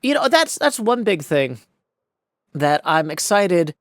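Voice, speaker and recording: A man's voice: high voice, very animated, takes it slowly, dry recording, studio quality. An American man of forty talking casually and reflectively in a personal vlog, with folksy phrasing and rambling asides. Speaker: video creator and host.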